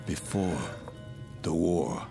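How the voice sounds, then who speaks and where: Dazed low-voiced man on a ship, halting n polite, radio drama w music under. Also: gravelly, heavily acted. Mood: dazed, uneasy. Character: haunted, confused male ship passenger, polite and uneasy.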